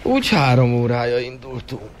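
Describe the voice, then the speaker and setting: A man's voice: mid-range voice, sing-song, slight background noise, close to an everyday voice. A man in a radio play voicing a cheeky, breezy boy from a detective gang, joking in slang. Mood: ironic, hearty.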